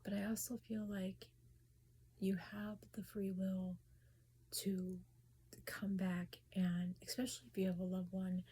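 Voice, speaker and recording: A woman talking casually about spirits and grief in a soft whisper, hesitant and full of restarts. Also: mid-range voice, level tone, measured pace, a little hiss or hum. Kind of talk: casual personal talk.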